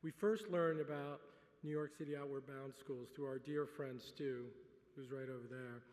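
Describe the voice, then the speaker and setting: Middle-aged honoree at a podium, native French speaker, relaxed with dry humor, lots of ums, in a long-echo banquet hall. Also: male voice, mid-range voice, moderate pace. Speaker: gala honoree giving an acceptance speech. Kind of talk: speech at a gala dinner. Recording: reverberant hall.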